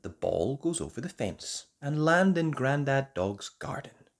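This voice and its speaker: A storyteller reading a children's picture book aloud in an expressive way, as host. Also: medium pitch, a little slow.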